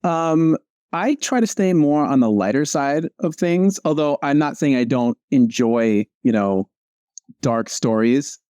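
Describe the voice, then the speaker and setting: A man, a comic strip creator, chatting casually as a guest, relaxed and filling his talk with "like" and "um". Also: medium pitch, measured pace, clear, resonant tone, no room sound, studio quality. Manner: relaxed, frequent "like" and "um". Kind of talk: casual conversation.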